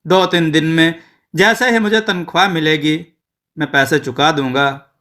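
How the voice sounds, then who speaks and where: A needy village neighbour as a male storyteller reads him, politely imploring. The voice is bright and a little high, and the words come slowly. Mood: pleading. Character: needy, pleading village neighbour man asking for credit. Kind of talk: storybook character dialogue.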